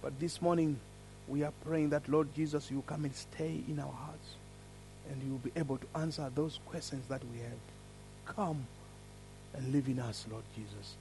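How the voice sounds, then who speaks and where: A man with an African accent leading a church service, praying and then telling a story in an earnest, lively way. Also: mid-range voice, normal speed, a little hiss or hum, rough recording. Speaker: preacher. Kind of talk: sermon with prayer and story.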